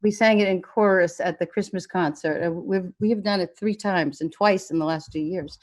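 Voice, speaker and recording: An actress playing a gentle woman back from a hospital stay, speaking in a halting, thoughtful, conversational way. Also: lowish voice, fairly even intonation, lightly acted. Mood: lightly amused.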